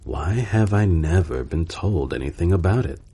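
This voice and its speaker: A man's voice: low voice, expressive, moderate pace, warm tone, slightly rough. A man voicing an imperious, indignant fairy-tale emperor in a pompous, commanding manner. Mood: displeased.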